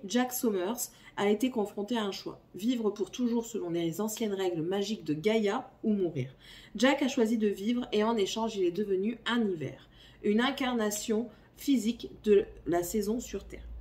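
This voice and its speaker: Hoarse woman with a cold, slightly off-mic. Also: medium pitch, a little mellow, distant mic. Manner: chatty, apologetic, coughing now and then. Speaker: book YouTuber. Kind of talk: casual reading-list chat, reading blurbs aloud. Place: home.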